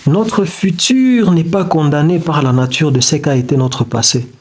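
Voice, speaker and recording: Man giving a halting motivational talk. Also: a little high, darkish tone, a little hiss or hum. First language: not French. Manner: halting, searching for words with many restarts. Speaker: self-development video creator. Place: indoors beside a whiteboard diagram.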